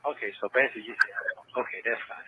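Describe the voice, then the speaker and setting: A man's voice: mid-range voice, fast, phone-quality sound, a crowd in the background. A man in a heated recorded phone conversation, defensive and repeating himself, on a noisy jail call. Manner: defensive, repetitive. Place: on a phone line, played back.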